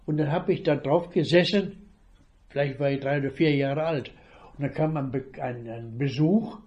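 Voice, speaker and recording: Elderly man in his eighties, slow and halting. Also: on the high side, darkish tone, highs cut off. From northwest Germany. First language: Low German. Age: 80s. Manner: slow, halting storytelling with restarts. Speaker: retired veterinarian. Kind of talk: reminiscing about childhood.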